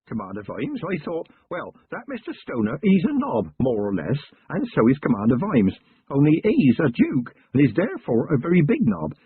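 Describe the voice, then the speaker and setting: A man's voice: fairly high pitch, husky, no room sound, voice pushed up, heavily acted. A man voicing an earnest, anxious young male country constable who rambles eagerly in an audiobook. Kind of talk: audiobook character voice. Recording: tinny lo-fi narration recording.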